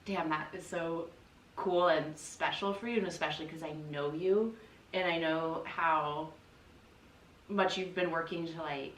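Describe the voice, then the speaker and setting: Lowish-voiced American woman, empathetic podcast co-host. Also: steady tone, a little slow, a bit bright. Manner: informal, empathetic, reflective. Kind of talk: casual supportive conversation. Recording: echoey room, distant mic, faint noise.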